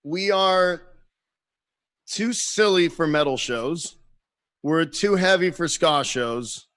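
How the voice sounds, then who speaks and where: A man tells band stories in casual chat with a voice a bit higher than most men's. He acts out other people's lines and swears freely. The sound is close and dry, with no room in it. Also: takes it slowly, dry recording. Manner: animated storyteller, acts out quotes, swears freely. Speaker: guest musician.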